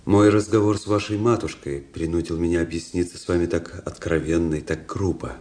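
An actor playing a cold, proud young Russian officer in a radio drama, speaking in a measured, formal, restrained way. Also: male voice, low-pitched, steady tone, warm tone, lightly acted. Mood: cold, resolute.

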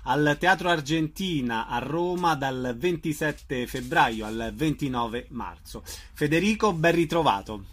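Guy hosting a radio theatre interview, slightly high voice. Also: animated, a little slow, few pauses, a bit bright. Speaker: radio theatre show host.

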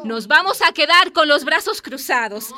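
A woman playing a spirited, devout community leader, firm yet warm and emphatic, in a radio drama. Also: high-pitched, expressive, moderate pace, crowd noise. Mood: rallying.

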